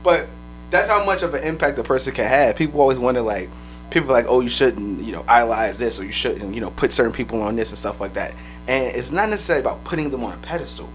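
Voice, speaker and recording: A man co-hosting a podcast, telling loose, profane stories in casual chat, his voice a little raspy. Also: mid-range voice, expressive, faint music behind, poor audio. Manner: fast, loose, profane storytelling. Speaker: podcast co-host.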